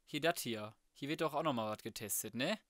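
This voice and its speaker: A man's voice: mid-range voice, brisk, a bit bright, no room sound, studio quality. A young man commenting casually on his gameplay, thinking aloud in slangy, colloquial speech. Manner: colloquial, slangy, muttering to himself. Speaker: let's player.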